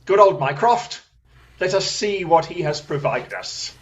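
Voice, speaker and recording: A man plays a confident, analytical detective in a radio drama. His voice sits high for a man, with deliberate, theatrical phrasing. A faint noise runs under the recording. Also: expressive. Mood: brisk, pensive. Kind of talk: radio play acting.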